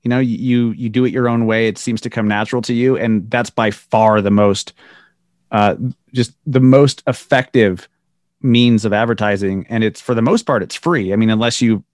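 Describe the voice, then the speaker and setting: A man hosting a podcast, relaxed and casual, firing off quick this-or-that questions to his guest. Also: on the low side, dry recording, studio quality. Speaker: podcast host.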